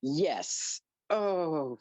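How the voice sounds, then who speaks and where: A low female voice plays an impatient woman fighter of about forty, acted by a woman at a script reading. She speaks at a slow pace with lively swings in pitch. The sound is dry and slightly dull. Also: no echo, full character voice. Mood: impatient, curt. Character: Miriam, fit Nigerian woman in her forties, impatient fugitive fighter. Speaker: actor in a live script reading. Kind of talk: dramatic script table read.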